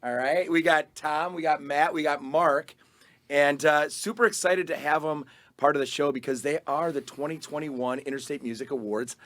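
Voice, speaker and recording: A man hosting a podcast, his voice raspy and hoarse from losing it, chatting in an animated way with many restarts. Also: on the high side, moderate pace. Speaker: podcast host. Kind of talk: casual interview chat.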